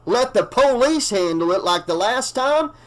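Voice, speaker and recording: A man voicing an angry small-town bartender who shouts defiantly. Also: sits high, big pitch swings, unhurried, pitched above the performer's own voice, full character voice.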